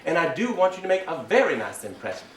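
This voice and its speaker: A man's voice: fairly high pitch, very animated, fast talker. A man performing a fussy, overbearing Southern belle mother, genteel and gushing but cutting, in a comic stage piece. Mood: scolding. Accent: American South. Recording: roomy, distant mic with hiss.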